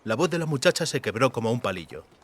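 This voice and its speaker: A man's voice: medium pitch, big pitch swings, quick pace. A man reading scripted fantasy fiction as an audiobook narrator.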